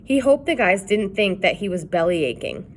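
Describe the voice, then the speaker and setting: A woman reads a children's chapter book aloud, telling it in an expressive way. Her voice is lively, rising and falling a lot through the narration. Her pitch sits in a middle range. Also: normal speed, slight background noise. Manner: expressive storytelling. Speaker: reader.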